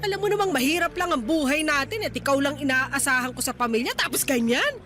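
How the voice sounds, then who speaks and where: A woman's voice: sits high, animated, quick pace, pushed and bright. A woman playing a harsh, blunt, scolding middle-aged mother in a Filipino radio drama. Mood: angry scolding.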